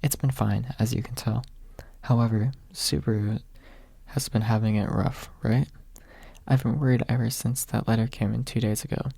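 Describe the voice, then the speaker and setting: Caring young maid girl read by a man, polite and earnest, in a mellow, creaky storybook voice. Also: mid-range voice, steady tone, moderate pace, no echo. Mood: worried.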